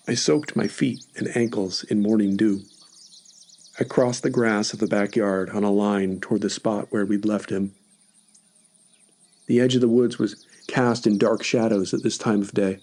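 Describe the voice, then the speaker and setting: A man's voice: lowish voice, a little mellow. A man reading scripted first-person horror narration as a story podcast narrator.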